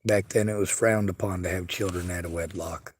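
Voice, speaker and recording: A man's voice: low voice, steady tone, fairly quick, a little hiss or hum. A man livestreaming, reading viewer chat and speaking bluntly and earnestly in a casual monologue. He has a stutter and a speech impediment.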